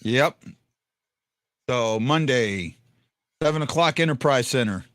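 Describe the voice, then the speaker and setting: A man with a deep, baritone-to-bass voice hosts a casual fan talk show. He rambles, repeats himself and trips over his words as he thinks aloud. His pitch sits in an ordinary middle range. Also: lively intonation, slow, a bit bright. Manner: rambling, stumbling restarts. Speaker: podcast host.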